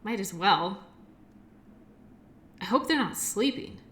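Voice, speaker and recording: A woman's voice: mid-range voice, expressive, slow pace, a bit bright, breathy edge, full character voice. A woman playing a shy, awkward, kindly medical worker in an audio roleplay, talking in a hesitant, rambling, apologetic way. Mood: musing, nervous. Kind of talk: audio roleplay acting, addressing the listener.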